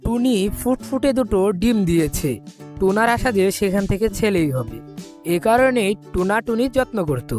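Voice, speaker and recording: A woman's voice: mid-range voice, animated, moderate pace, darkish tone, soft background music. A woman narrating a scripted children's cartoon story as the storyteller.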